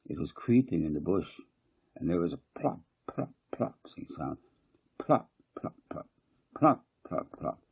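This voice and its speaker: A cute, babyish little girl from a storybook, played by a man, dramatic and simple in speech. The voice is husky and mellow underneath. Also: sits low, big pitch swings, measured pace, full character voice. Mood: playful.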